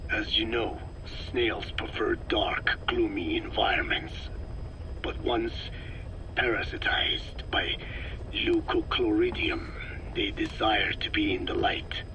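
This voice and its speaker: An actor playing a cold, older male scientist who lectures slowly in a gravelly whisper, on a game audio tape. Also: on the low side, fairly even intonation, pushed and bright, slight background noise, heavily acted, poor audio. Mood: clinical. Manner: slow, lecturing, measured. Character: cold elderly male parasite scientist, calm and clinical. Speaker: scientist explaining parasites. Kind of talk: game audio log acting.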